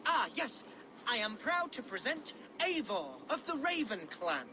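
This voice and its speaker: A man's voice: very high voice, big pitch swings, a little slow, noisy background, heavily acted. An actor playing a young, tender-hearted Saxon nobleman in a game cutscene, earnest and courtly in manner. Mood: warm, proud. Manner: courtly, affectionate, florid. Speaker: video game supporting character. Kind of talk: dramatic game cutscene acting.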